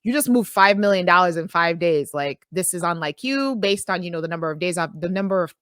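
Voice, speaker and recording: A woman's voice: fairly low pitch, animated, fairly quick, a bit bright, dry recording, studio quality. A woman from Nigeria, a podcast co-host chatting casually and retelling a story with asides.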